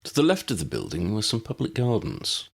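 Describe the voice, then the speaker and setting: A man reading a scripted fiction story as an audiobook narrator, with a husky, gravelly voice. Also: on the low side, huge pitch swings, fairly quick.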